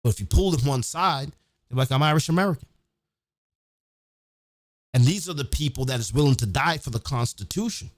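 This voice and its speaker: A man's voice: mid-range voice, animated, a little fast, dry recording, clean studio sound. A man hosting his own show, giving a blunt, profane, emphatic opinionated monologue in a casual ranting style.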